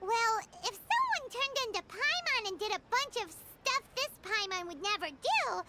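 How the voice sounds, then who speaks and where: A tiny, perky female fairy from a video game, with an extremely high, squeaky, childlike voice. The intonation leaps around brightly. Prominent music plays underneath. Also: big pitch swings, normal speed. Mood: earnest. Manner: squeaky, refers to self in third person.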